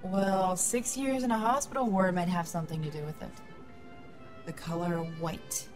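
A woman's voice: on the low side, steady tone, normal speed, over music, natural voice. Blunt, sardonic rebel officer, played by a woman. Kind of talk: science fiction audio drama acting.

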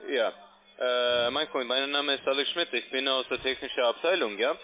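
A man's voice: mid-range voice, moderate pace, soft background music. A man posing as tech support, a native English speaker speaking broken German with faulty word order, pushing instructions. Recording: tinny phone line.

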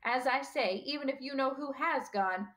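A woman's voice: high voice, normal speed, a bit bright, pitched above the performer's own voice. Woman acting a stern, emphatic older female professor. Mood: irritable. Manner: clipped, emphatic, impatient. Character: stern older female professor, brisk, indignant, easily ruffled. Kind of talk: audiobook character dialogue.

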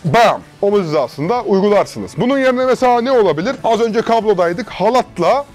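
A young man in his twenties, a fitness coach giving a casual, energetic workout tutorial in a large gym, chatting to viewers like friends on a lapel mic. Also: high voice, fairly quick, soft background music.

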